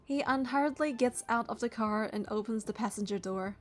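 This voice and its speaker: Woman, slightly high voice, game playthrough, reading narration and dialogue aloud, casual asides, faint background music. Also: fairly quick.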